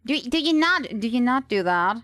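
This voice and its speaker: A woman's voice: a little high, expressive, normal speed, fairly bright tone, no room sound, faint music behind. Playful woman streamer, close dry sound. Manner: playful, wry. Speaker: game livestreamer. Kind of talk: casual commentary over gameplay.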